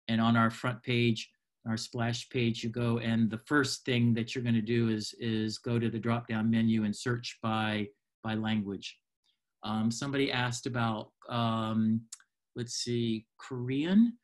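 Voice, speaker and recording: A man in his fifties informally hosting a webinar on a video call, speaking haltingly as he searches for words. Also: medium pitch. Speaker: webinar host. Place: small home room, indoors. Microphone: computer mic on a video call.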